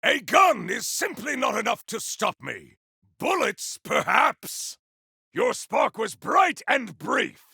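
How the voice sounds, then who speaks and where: A man voicing a proud, battle-hungry video game warrior, speaking in a theatrical, formal, declamatory manner with a gravelly, forceful edge. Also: very high voice, big pitch swings, slow, no room sound, studio quality, full character voice. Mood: battle fury. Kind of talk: video game combat barks.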